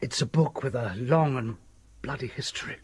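A haunted village doctor, very raspy voice, ominous formal talk, radio play. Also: male voice, mid-range voice, moderate pace, dry recording. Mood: grave, ominous. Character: desperate, frightened country doctor obsessed with the occult. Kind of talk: radio drama acting.

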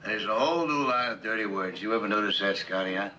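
An actor playing a bitter, disillusioned middle-aged executive husband in a television drama, with a halting, sardonic delivery. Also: male voice, lowish voice, bright, forceful tone, a little hiss or hum. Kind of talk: 1960s television drama acting.

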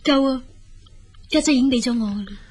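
An actress playing a regretful, grateful younger sister in a Cantonese radio drama, with a Hong Kong accent. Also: female voice, high-pitched, a little fast, a little mellow, slightly rough.

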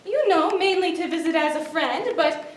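A woman's voice: extremely high pitch, expressive, moderate pace. A young woman playing a cheerful, fussing housewife in a sitcom-style stage play. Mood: fussing, coaxing. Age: young adult. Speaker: stage actor. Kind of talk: 1960s sitcom parody stage acting. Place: theater stage. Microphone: distant camera microphone. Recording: roomy, faintly noisy distant stage recording.

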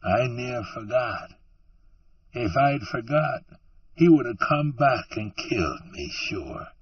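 Man voicing a weepy ashamed drifter, rustic grammar, slow pleading, dull distant sound. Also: mid-range voice, animated, breathy edge, no echo, pitched above the performer's own voice. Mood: desperate. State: tearful.

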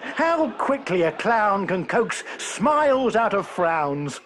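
A man's voice: sits high, sing-song, takes it slowly, fairly bright tone. A man reading a playful children's poem in a bouncy, sing-song way with chuckles. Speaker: poem reader.

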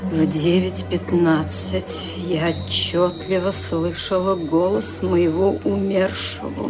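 Older widow, low, deliberate, firm, radio play. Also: female voice. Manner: measured, grave, commanding. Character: frightened elderly widow, firm with her maid.

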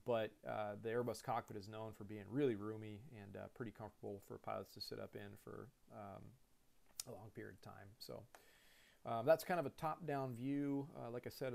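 A man in his thirties, an airline pilot, talks through a cockpit layout in a relaxed, conversational way full of fillers and restarts, recorded at a computer. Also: fairly low pitch, gravelly. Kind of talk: casual screen-share lesson. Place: indoors at a computer.

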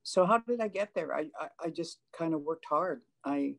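Older woman, chinese first language, really gravelly voice, earnest, lots of restarts, video call from home. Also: deep voice, lively intonation, normal speed. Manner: earnest, frequent hesitations and restarts. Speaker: panelist. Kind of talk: personal account on a panel. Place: home room, indoors.

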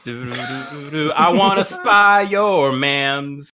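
A man's voice: a little high, lively intonation, slow, pushed and bright. A man reading comic material aloud in a mock-earnest way, mixed with casual banter. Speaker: podcast panelist reading documents aloud.

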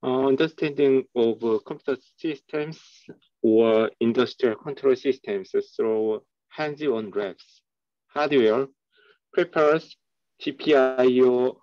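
A man's voice: medium pitch, slow pace, no echo, highs cut off. A young man, a student, reading lecture slides aloud over a call, hesitant and stumbling over technical terms.

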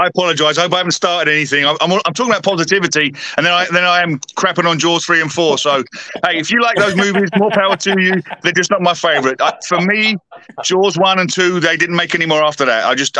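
A man's voice: on the high side, quick pace, sharp tone, low-quality recording. An English man in his fifties, a guest on a video-call chat, chatty and self-deprecating with stammering restarts. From England. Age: 50s. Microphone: webcam on video call.

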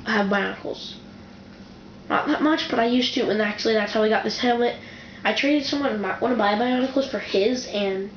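Excitable woman reviewer, rambling and self-correcting, recorded on a camera mic in a roomy, echoing home room. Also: fairly high pitch. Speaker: toy reviewer. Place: indoor room with a wooden floor, off camera. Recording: dull, echoey home camera recording with faint hiss.

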